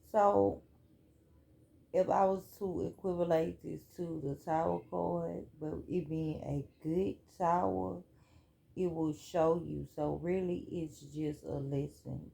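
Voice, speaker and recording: A woman chatting casually through a spiritual card reading at home, relaxed and talkative. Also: low-pitched, a little slow, gravelly. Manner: relaxed, chatty, reads cards aloud. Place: home room.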